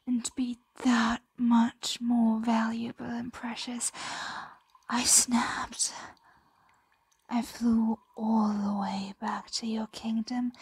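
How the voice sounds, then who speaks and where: Woman voicing a slow, whispering, possessive dragon. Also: on the high side, darkish tone, no room sound, exaggerated voice. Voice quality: breathy whisper. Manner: slow, soothing, possessive murmuring. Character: possessive, doting ancient dragon hoarding a captive treasure. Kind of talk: ASMR roleplay. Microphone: close microphone.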